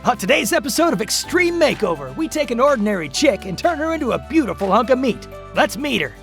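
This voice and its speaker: A woman's voice: on the high side, very animated, fast, faint music behind. A woman playing a cheery, salesy makeover-show host.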